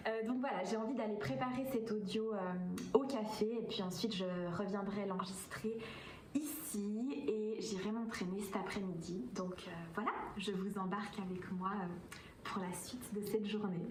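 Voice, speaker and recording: A woman's voice: medium pitch, fairly even intonation, normal speed, darkish tone. Swiss French woman, roomy and distant. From Switzerland. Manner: hesitant, many filler sounds, enthusiastic. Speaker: vlogger and coach. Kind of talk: casual vlog talk to camera. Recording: roomy echo, from a distance, faint background hiss.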